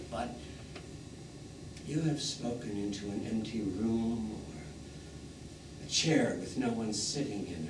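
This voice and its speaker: An actor playing a proud, wistful old dead man in a stage play, musing philosophically in a deliberate, theatrical way. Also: fairly low pitch. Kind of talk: live stage play monologue addressed to audience. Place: small theatre stage, recorded from the audience. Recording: echoey theatre from far off, noisy.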